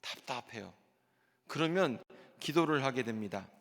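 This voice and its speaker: A man's voice: medium pitch, expressive, moderate pace, fairly bright tone. A middle-aged male pastor preaching a sermon in a church, explaining earnestly and asking the congregation rhetorical questions. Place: church sanctuary. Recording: long reverb of a church hall.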